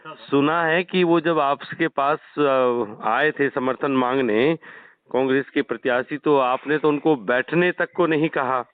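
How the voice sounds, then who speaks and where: A man's voice: mid-range voice, measured pace, no room sound. Persistent male interviewer on phone. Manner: polite but persistent, frequent acknowledgements. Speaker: journalist interviewer. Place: telephone call. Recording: dry, narrow phone call recording.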